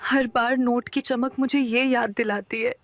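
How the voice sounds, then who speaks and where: A woman's voice: fairly high pitch, fairly even intonation, a little slow. A woman playing a poor, kind-hearted village woman who narrates her moral story in an earnest, reflective way. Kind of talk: scripted first-person moral story narration. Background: background music.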